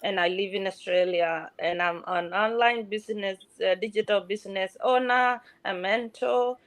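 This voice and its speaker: A woman's voice: mid-range voice, moderate pace, no echo. Reassuring woman from South Sudan, dry sound. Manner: repetitive, reassuring, restarts often. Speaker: guest mentor. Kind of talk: motivational self-introduction.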